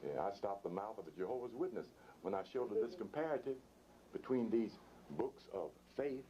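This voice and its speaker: A man's voice: lowish voice, brisk, warm tone, highs cut off, a little hiss or hum. A man giving an interactive spiritual lecture, putting questions to his listeners. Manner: questioning the audience.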